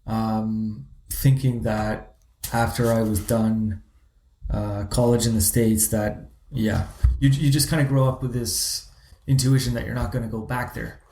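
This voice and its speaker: A man's voice: mid-range voice, fairly even intonation, unhurried, a little mellow, roomy sound. A man from Zimbabwe, a guest in an interview, talking casually and often restarting his sentences. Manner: hesitant, many restarts and fillers.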